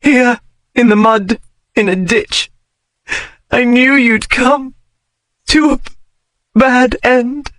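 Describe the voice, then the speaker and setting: A man voicing a fiery, headstrong young Regency lady who scolds and pleads in a high, strained voice. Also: fairly even intonation, takes it slowly, long pauses, a bit bright, no room sound, heavily acted. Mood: desperate pleading.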